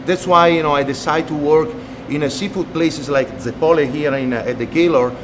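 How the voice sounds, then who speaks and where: Italian-accented man, fairly high voice. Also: steady tone, noisy background. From Italy. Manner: halting, many fillers. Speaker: executive chef. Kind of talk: TV interview.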